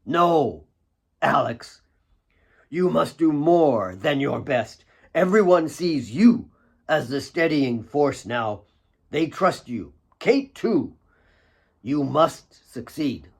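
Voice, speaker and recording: A man voicing a grave, caring old mentor in an audiobook, slow, earnest and urging. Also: on the high side, animated, vocal fry, breathy edge, full character voice. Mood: urgent, insistent.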